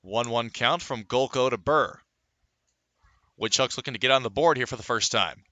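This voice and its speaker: A man's voice: medium pitch, moderate pace, bright, forceful tone, dry recording. A man calling baseball live as a radio play-by-play announcer, fluently reciting stats and lifting his delivery on each play. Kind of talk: live sports play-by-play commentary.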